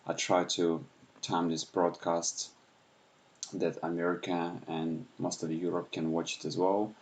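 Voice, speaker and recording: A man from Ukraine with a Russian accent, speaking softly and unscripted at home in the early morning, with many pauses and fillers. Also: low-pitched, level tone. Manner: speaks softly with frequent hesitations. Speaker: YouTuber. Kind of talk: unscripted livestream talk.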